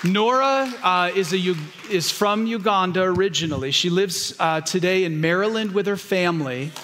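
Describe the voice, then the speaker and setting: A man's voice: sits high, moderate pace, fairly bright tone, big-room sound, slight background noise. A man, the pastor, introducing a guest to his church congregation with moved, heartfelt storytelling. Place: church sanctuary.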